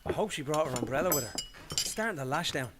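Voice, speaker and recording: An actor playing a helpful, chatty grown son in a radio play, with a Dublin Irish accent and a courteous, colloquial manner. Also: male voice, fairly high pitch, animated, fast talker, close to an everyday voice. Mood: chatty, fond. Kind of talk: radio play acting, domestic conversation. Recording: loud clinking crockery noise.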